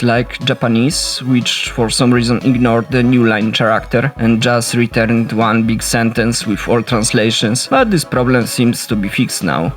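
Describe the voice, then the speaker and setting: A man with a Polish accent narrates a game development log in a steady, explanatory voice at a mid pitch. Soft music plays faintly behind him. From Poland. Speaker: solo indie game developer. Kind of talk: scripted devlog narration.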